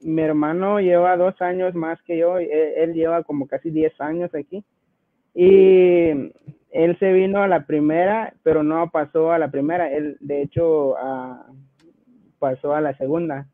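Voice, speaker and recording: A man's voice: fairly high pitch, steady tone, a little slow, no echo, narrow, tinny audio. A man in his twenties with a Guatemalan accent, telling his personal story casually as an interview guest. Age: 20s.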